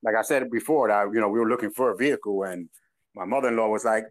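A man's voice: fairly low pitch, quick pace. A man in his twenties with a New York accent, co-hosting a casual podcast chat and telling stories in which he quotes people with laughs. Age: 20s. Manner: storytelling, quoting people with animated laughs. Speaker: podcast co-host.

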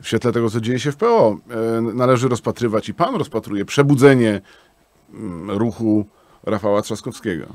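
A man's voice: medium pitch, animated, moderate pace, darkish tone, dry recording. A man in his forties hosting a radio interview, asking probing, challenging questions. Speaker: radio host. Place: small radio studio with acoustic panels. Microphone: studio microphone on arm.

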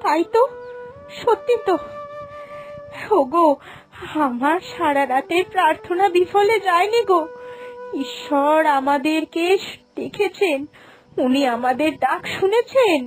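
A woman's voice: very high-pitched, lively intonation, slow pace, long pauses, faint music behind, full character voice. A woman voicing an anxious, pious poor farmer's wife in a cartoon folk tale, with a plaintive, imploring manner. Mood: grateful wonder. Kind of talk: Bengali animated folk-tale acting.

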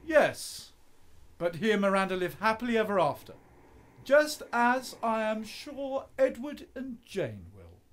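An actor playing an idealistic, stubborn young husband and poet in a radio play, breezy and insistent. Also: male voice, sits high, huge pitch swings, a little slow, a bit bright, exaggerated voice. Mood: confident.

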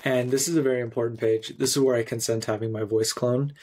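A man in his thirties narrating a screencast tutorial in an instructional, clearly phrased way. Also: medium pitch, fairly even intonation, fast, a little mellow. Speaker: software tutorial presenter.

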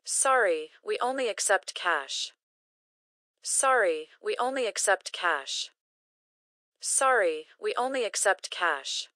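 A woman reading short English phrases slowly and carefully, each one repeated for learners to practice. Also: medium pitch, lively intonation, pushed and bright, dry recording, clean studio sound. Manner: careful, clearly enunciated. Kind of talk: slow repeated phrase drills.

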